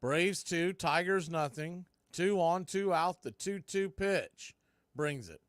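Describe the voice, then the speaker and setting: A man's voice: on the high side, takes it slowly, dry recording, clean studio sound. A man announcing baseball play-by-play in clipped, folksy phrases. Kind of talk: live play-by-play commentary.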